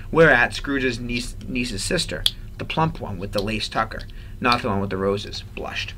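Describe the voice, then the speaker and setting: A man reading a story aloud as a teacher, with expressive narration. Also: on the low side, noisy background.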